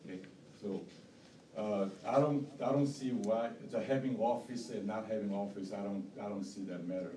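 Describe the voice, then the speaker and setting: A man with a strong non-native accent presents his case formally at a hearing. His voice is bright and fairly low, and his phrasing is halting and repetitive. It is recorded in a roomy indoor space from some distance. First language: not English. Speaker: bidder presenting an appeal at a hearing. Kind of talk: formal statement, partly read aloud. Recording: roomy, distant mic, faint noise.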